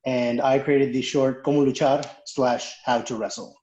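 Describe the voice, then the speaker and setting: A man's voice: mid-range voice, steady tone, moderate pace, a little mellow. A man from Texas, a veteran animator who introduces himself matter-of-factly over a video call. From Texas, United States. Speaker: professional animator. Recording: roomy, muffled call audio.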